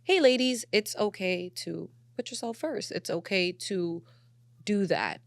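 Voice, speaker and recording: A woman's voice: mid-range voice, measured pace, vocal fry, no echo. A woman hosting a podcast, chatting casually and frankly, with plenty of filler words like "you know". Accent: Filipino. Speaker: podcast host. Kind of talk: casual opinionated podcast chat.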